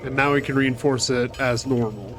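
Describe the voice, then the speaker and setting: A man's voice: mid-range voice, steady tone, normal speed, fairly bright tone, soft background music. A man with a cold giving casual, chatty commentary over his gameplay, swearing now and then and talking to enemies on screen. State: fighting a cold. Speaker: gaming channel host. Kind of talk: casual let's-play commentary.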